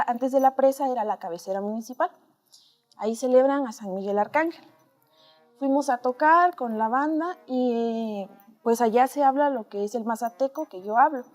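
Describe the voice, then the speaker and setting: A woman's voice: high-pitched, darkish tone. A woman with a Mexican accent, an interview guest telling personal stories in a conversational, hesitant, reflective way.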